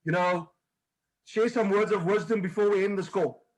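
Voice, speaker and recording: A man's voice: sits high, steady tone, moderate pace, rough recording. A man hosting a motivational video call, speaking in an upbeat, encouraging way. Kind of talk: motivational presentation.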